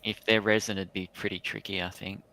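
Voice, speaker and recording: A man chatting casually and haltingly, often repeating words. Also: on the low side, flat delivery, pushed and bright, low-quality recording. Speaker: panelist. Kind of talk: casual hobby chat.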